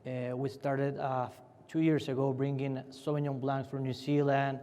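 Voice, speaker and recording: Young Chilean man, Spanish accent, middle pitch, chatty panel talk, big reverberant hall. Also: level tone, normal speed, mellow. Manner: loose and chatty, many restarts and fillers. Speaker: panelist from a family winery. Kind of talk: conference fireside chat. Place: large conference hall.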